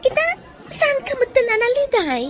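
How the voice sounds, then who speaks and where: A woman with an Ilocano accent acting a lively, inquisitive friend in a radio drama. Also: extremely high pitch, moderate pace, soft background music. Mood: curious, concerned.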